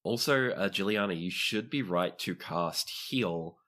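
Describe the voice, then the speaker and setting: Low-voiced male game master. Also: a little slow, fairly bright tone. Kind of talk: narrating and refereeing a tabletop game.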